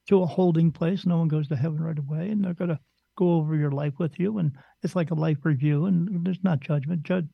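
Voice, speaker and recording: A man's voice: a little high, creaky, husky, no room sound, dull audio. A man who works as a spirit medium, talking casually on a podcast in a blunt, rambling way and slipping into other people's voices.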